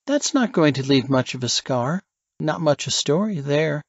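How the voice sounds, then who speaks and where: Man as young woman, coquettish, menacing, raised pitch, animated intonation, audiobook thriller character. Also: normal speed, breathy edge, dry recording, dull audio. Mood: teasing.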